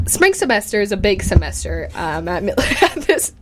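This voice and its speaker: Picture a young woman behind a studio microphone at a college radio station, co-hosting with easy, casual chatter. She giggles between her lines, and her voice sits at an ordinary middle pitch. The talk is unscripted and friendly. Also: sing-song, moderate pace, background noise. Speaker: radio show co-host. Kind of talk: casual chat. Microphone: studio mic.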